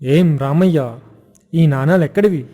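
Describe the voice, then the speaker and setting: A man playing a stern, commanding king who passes judgement in an animated moral story. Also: fairly high pitch, measured pace, lightly acted. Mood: stern, questioning.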